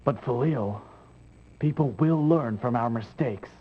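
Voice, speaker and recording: Victorious but mournful ruler, male actor: game melodrama, medium-pitched voice, dull noisy recording. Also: measured pace, lightly acted. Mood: sorrowful. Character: grieving victorious emperor beside his dying brother. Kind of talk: melodramatic video game acting.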